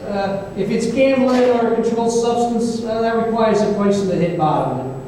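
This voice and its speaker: A man's voice: high-pitched, moderate pace, mellow, natural voice. A man playing a wry, world-weary private eye, telling his case in old-time radio style with a folksy, hesitant delivery. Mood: businesslike. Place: live stage performance in an echoey room. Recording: echoey hall, distant mic, faint noise.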